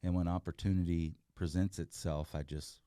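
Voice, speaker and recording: Middle-aged man, very deep voice, dry sound, earnest rambling guest. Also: fairly even intonation, moderate pace, warm tone, clean tone, no echo. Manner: earnest, rambling, many fillers and restarts. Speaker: guest, entrepreneur. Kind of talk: casual interview chat.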